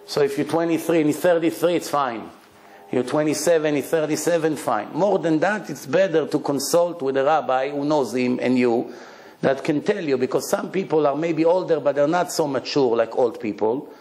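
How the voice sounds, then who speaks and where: A man's voice: on the high side, moderate pace, darkish tone, roomy sound. A man with an Israeli accent, Hebrew his first language, giving a blunt, emphatic religious lecture in short punchy phrases and rhetorical questions. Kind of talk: lively religious lecture to an audience.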